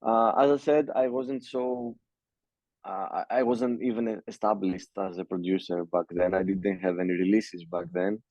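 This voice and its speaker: A young man from Greece speaks accented English in a low, mellow voice. He hesitates and restarts his sentences often, filling gaps with "uh". The sound is dry and dull, like lo-fi call audio. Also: steady tone, no room sound. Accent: Greek. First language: Greek. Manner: halting, many fillers and restarts. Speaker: music producer, guest. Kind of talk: casual interview.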